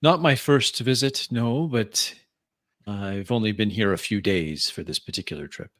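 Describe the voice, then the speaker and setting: Genial Parisian professor for tabletop roleplay, played by a man, French accent, fairly low voice, formal and gracious. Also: moderate pace, fairly bright tone, no room sound, studio quality.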